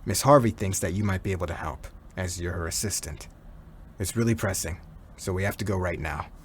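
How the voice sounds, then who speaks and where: Deep-voiced, serious henchman played by a man. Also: fairly quick, slight background noise, natural voice. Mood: serious, pressing. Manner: serious, matter-of-fact. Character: calm, loyal male henchman of a crime boss. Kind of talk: audio roleplay acting.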